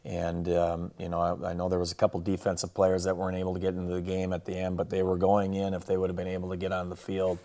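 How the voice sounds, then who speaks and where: Low, even-voiced American male coach. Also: fast, few pauses. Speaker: football head coach. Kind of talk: casual sports recap and highlight commentary.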